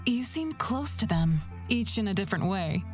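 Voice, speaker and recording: A woman voicing a calm, reflective young female wagon driver in a fantasy visual novel, measured and thoughtful. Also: medium pitch, soft background music, lightly acted.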